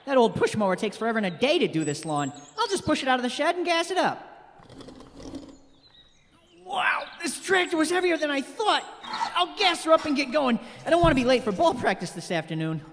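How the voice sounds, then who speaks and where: An actor playing an eager youngster in a radio play, talking excitedly to themselves over chores, recorded in a reverberant hall with some noise. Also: high voice, brisk, heavily acted. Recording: reverberant hall, noisy stage performance.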